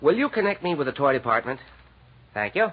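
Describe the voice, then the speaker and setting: A man's voice: mid-range voice, sing-song, a little fast. An American man acting in 1940s radio style as an effusive, flustered, good-natured department store manager. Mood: eager, businesslike. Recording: dull, lo-fi 1940s radio recording.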